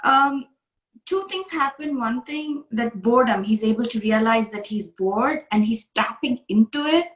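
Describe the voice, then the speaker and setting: A woman's voice: fairly high pitch, lively intonation, a little slow. Woman from india hosting a chat, earnest and emphatic, echoey room. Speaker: coach, interview host. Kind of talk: casual interview conversation.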